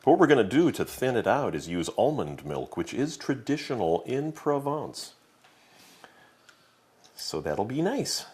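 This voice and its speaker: A man's voice: mid-range voice, big pitch swings, measured pace, a little mellow. A man working as a TV chef, explaining each cooking step in a wry, articulate way. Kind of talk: cooking show demonstration.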